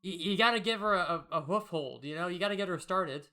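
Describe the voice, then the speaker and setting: A man's voice: high voice, brisk, sharp tone. A man co-hosting a casual comic discussion, riffing playfully as he goes. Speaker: co-host. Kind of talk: casual comic commentary chat.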